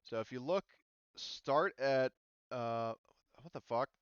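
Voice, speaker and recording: Male game master, casual and sweary, clear resonant voice, dry and slightly dull voice-chat sound. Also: mid-range voice, slow, dry recording. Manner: casual, profane. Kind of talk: casual tabletop game session talk.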